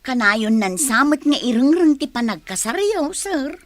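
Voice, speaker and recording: An actress playing a deferential but probing household helper in a radio drama. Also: female voice, high-pitched, big pitch swings, unhurried, no room sound. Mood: concerned, probing.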